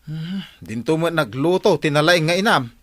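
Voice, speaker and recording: A man's voice: on the high side, expressive, normal speed, fairly bright tone, no echo, studio quality. A man playing a stubborn, lazy gambling husband and father in an Ilocano comedy radio drama, blustering and complaining. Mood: scolding.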